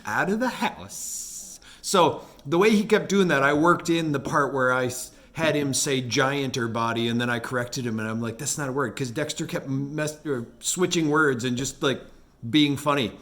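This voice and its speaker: A man's voice: medium pitch, moderate pace, fairly bright tone. A man talking casually as an animator hosting a look back at his work, in a rambling, self-amused way. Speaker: animator and video host. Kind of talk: casual retrospective commentary.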